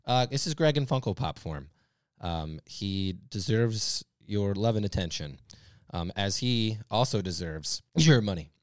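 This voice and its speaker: A man co-hosting a podcast in casual banter, excitable and quick to interject. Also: lowish voice, dry recording, clean studio sound. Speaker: podcast co-host.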